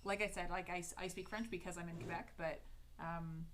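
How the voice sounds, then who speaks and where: Chatty Canadian woman, lower voice. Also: steady tone, a little fast, fairly bright tone, slight background noise. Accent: Canadian English. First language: English. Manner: chatty, upbeat, many fillers and restarts. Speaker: calligraphy livestreamer. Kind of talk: casual chat with live viewers while lettering.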